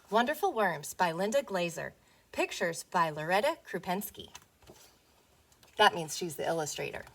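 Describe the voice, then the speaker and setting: Female primary teacher for a children's read-aloud, very lively pitch swings, mid-range voice, playful questioning delivery. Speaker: elementary school teacher reading aloud to young pupils. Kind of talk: read-aloud picture book lesson for young children.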